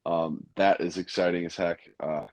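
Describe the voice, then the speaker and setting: A young man with a raspy, tired voice, hosting a casual sports chat and rambling with many fillers. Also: low voice, steady tone, normal speed, dry recording. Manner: rambling, lots of fillers and restarts. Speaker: podcast host.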